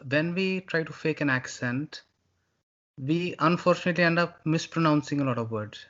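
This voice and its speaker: A man, a guest recounting his own experience in a measured, hesitant way. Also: a little high, a bit bright, lo-fi sound. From India. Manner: measured, hesitant with many uh's. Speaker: interview guest, former student.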